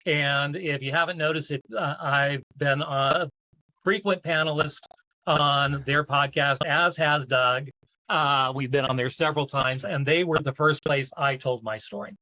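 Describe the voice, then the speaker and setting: A man's voice: a little high, fairly even intonation, a little slow, bright, forceful tone, dry recording, lo-fi sound. A man hosting a live online event and introducing guests as a support group coach.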